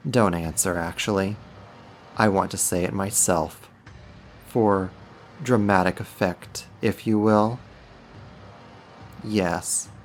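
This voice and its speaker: A man voicing a powerful, smugly dominant archdemon in an ASMR roleplay, mocking and menacing with a theatrical, deliberate delivery. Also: lowish voice. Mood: gloating. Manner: theatrical, taunting, slow and deliberate.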